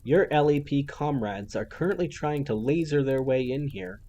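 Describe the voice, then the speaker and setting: A man's voice: fairly high pitch, lively intonation, moderate pace, mellow, slight background noise, voice pushed up. A man voicing a snide, childlike female villain who mocks and gloats in a theatrical sing-song. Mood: mocking.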